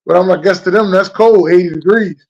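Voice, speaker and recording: A man with a high, gravelly voice hosting a casual chat. He talks loosely with slang and repeats himself, heard through dull, lo-fi sound. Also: fairly quick, a little mellow. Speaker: host.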